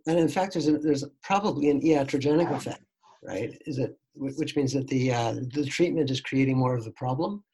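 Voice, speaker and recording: A man's voice: mid-range voice, brisk, warm tone, rough recording. A man being interviewed as a neuroscience expert, explaining thoughtfully and restarting his sentences often. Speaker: neuroscientist guest. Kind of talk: conversational interview answers.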